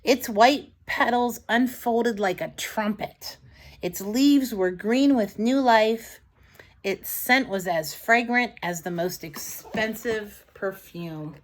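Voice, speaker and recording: A woman reading a story aloud to young children in an expressive, dramatic storybook manner, with asides to her listeners. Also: fairly high pitch, takes it slowly.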